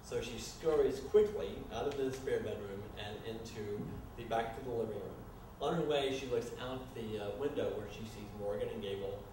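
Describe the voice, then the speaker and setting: A man narrating a staged screenplay reading in a loose, improvised, halting way, often stumbling over his words. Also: mid-range voice. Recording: echoey room, distant mic, noisy.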